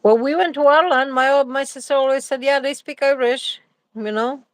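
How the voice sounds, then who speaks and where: A woman's voice: high voice, normal speed, crowd noise. A middle-aged woman with a Polish accent, chatting casually on a livestream, hesitating often and reading viewer comments aloud. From Poland. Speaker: livestream co-host. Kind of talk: casual live chat.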